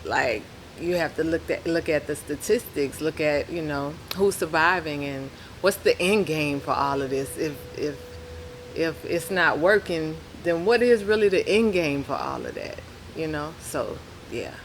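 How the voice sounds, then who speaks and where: A woman's voice: low voice, animated, unhurried, slight background noise. A woman from New Orleans with the local accent, talking casually and easily as an interview guest. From New Orleans, Louisiana. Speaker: podcast guest, rapper.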